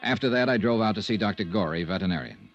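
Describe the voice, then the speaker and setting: A man playing a brisk, dogged, wry insurance investigator in a 1950s radio detective drama. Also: lowish voice, animated, dull audio, faint music behind. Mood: brisk narrating. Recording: old radio drama recording.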